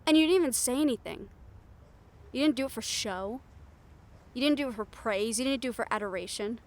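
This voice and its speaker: A woman's voice: on the high side, fairly quick, natural voice. A woman playing a bold, sarcastic, foul-mouthed reporter in an improvised audio roleplay, speaking in a candid, conversational way. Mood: earnest, persuasive. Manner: conversational, exasperated, candid, with sighs and swearing.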